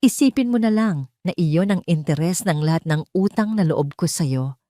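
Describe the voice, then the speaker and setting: A woman's voice: lowish voice, animated, normal speed, warm tone, dry recording, studio quality, lightly acted. Woman voicing a humbled, earnest young Filipino man. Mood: gently joking. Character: humbled young male billionaire, earnest and remorseful.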